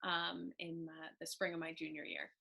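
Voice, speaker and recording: A young woman from England speaks in a lowish voice with a bright, crisp edge. She is brisk and concise as she covers her studies. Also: flat delivery. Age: young adult. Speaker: university student.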